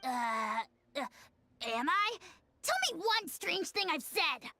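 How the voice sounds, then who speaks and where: A woman voicing a tiny floating girl companion in a video game who talks in an excitable, shrill way. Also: background noise, full character voice. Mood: flustered. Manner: shrill, excitable, quick to protest. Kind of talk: video game cutscene voice acting.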